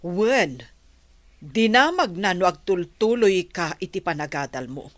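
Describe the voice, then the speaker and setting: A woman's voice: on the low side, very animated, measured pace, no room sound. A woman with an Ilocano accent playing a caring but scolding village mother in a radio drama.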